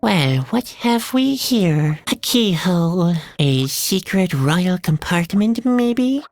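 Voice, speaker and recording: Gloomy, slow-talking young clown. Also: male voice, sits high, no echo, exaggerated voice. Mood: musing, wry. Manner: deadpan, self-deprecating, slow. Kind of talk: video game character dialogue.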